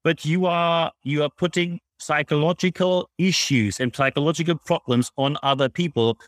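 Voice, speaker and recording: A man's voice: on the high side, steady tone, normal speed, no echo. A man with a German accent giving blunt, emphatic, explanatory answers as an interview guest. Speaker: guest, public speaker on leadership.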